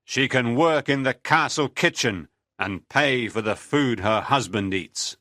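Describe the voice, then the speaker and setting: A man's voice: mid-range voice, lively intonation, measured pace, pushed and bright, no room sound, studio quality. A man playing a cruel greedy lord. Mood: commanding. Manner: barking, domineering commands. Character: cruel greedy medieval lord, big and fat. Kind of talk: storybook reading.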